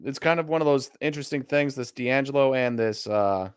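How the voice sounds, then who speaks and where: A man's voice: mid-range voice, brisk, clean tone, dry recording, studio quality. A man with a Midwestern American accent, co-hosting a casual live stream and thinking aloud as he browses listings. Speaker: co-host of a card auction stream.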